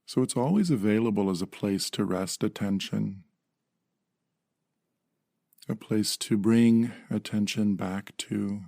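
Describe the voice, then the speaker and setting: Male meditation guide: low, gravelly voice, calm measured phrasing with generous pauses, very dry sound. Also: no echo.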